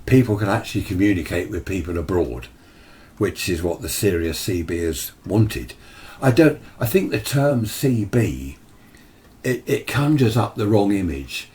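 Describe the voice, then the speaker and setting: A man's voice: sits low, animated, measured pace, a little mellow. A British man giving an informal, opinionated explainer in a conversational way, as a radio hobby presenter. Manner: opinionated, conversational, mimics imagined replies. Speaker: amateur radio video presenter.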